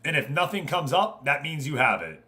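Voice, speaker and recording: A man with a bright, forward voice hosts a live video game stream. He talks informally and conversationally, moving between commentary on the game and direct chat with the people watching. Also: on the high side, sing-song, normal speed. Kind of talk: casual game stream commentary, chatting with viewers.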